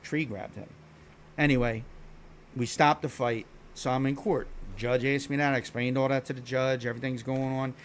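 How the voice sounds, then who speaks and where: A man's voice: mid-range voice, fairly even intonation, normal speed, slight background noise. A man, a live streamer telling stories to his viewers in a blunt, profane, rambling way with lots of restarts. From Philadelphia. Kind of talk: casual storytelling chat to stream viewers.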